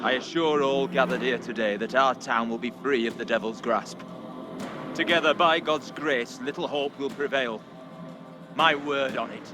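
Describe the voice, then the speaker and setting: An actor playing a zealous, accusing seventeenth-century reverend in a video game, with pompous, fervent, oratorical delivery. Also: male voice, mid-range voice, moderate pace, music in the background, close to an everyday voice. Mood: stern, righteous. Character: zealous, accusing 17th-century Puritan reverend, a grown man. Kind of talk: dramatic video game acting.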